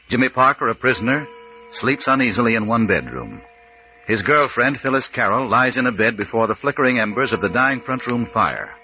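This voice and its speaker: An actor playing a grave, portentous narrator of a spooky old-time radio mystery serial. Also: male voice, fairly low pitch. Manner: dramatic, portentous. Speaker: announcer and narrator. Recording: muffled, faintly noisy vintage radio recording.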